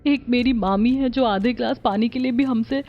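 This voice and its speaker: A woman's voice: on the high side, normal speed, highs cut off. A woman voicing a sweet, grateful, emotional young daughter-in-law in a cartoon story.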